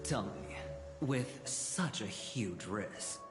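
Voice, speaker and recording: Suave schemer, drawling and swooping. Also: male voice, on the low side, expressive, drawn-out words. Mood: smug, teasing. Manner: playful, drawling, theatrical. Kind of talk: video game voice acting. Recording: echoey reverberant hall, clear music behind.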